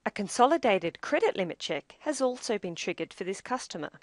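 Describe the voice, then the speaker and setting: A woman presenting a software demo as scripted instructional narration, measured and explanatory. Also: mid-range voice, sing-song, dry recording, studio quality.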